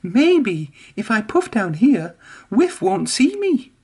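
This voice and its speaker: A reader voicing Emily, an anxious young female steam engine, in a high, breathless, fretful storybook voice. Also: sing-song, slow pace, darkish tone, husky, exaggerated voice. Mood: worried. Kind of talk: storybook character voice.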